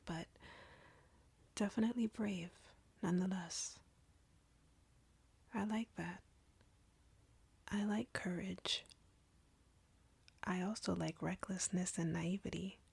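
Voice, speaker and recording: A very breathy, husky vampire woman, played by an actress in an intimate roleplay, drawing out her taunts slowly with a creaky edge. She is arrogant, cruel and flirty, condescending to her captive at close range. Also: fairly low pitch, a little mellow, dry recording, heavily acted. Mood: seductive, controlling. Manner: slow, taunting, condescending, teasing. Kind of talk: scripted roleplay audio, intimate ASMR-like delivery. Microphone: close, dry microphone.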